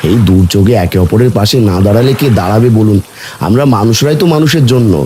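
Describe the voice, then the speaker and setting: Man voicing a low, mellow, humble villager. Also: animated, quick pace, a little hiss or hum, lightly acted. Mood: earnest, sympathetic. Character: kindly humble villagers: poor boatman and lonely widower. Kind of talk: animated story character dialogue.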